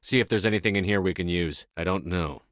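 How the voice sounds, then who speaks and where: A man acting in a video game as a commanding, resolute space soldier, speaking firmly with measured authority. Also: sits low, a bit bright, no echo, studio quality. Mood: defiant, determined. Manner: firm, authoritative, measured delivery. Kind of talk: scripted game dialogue acting.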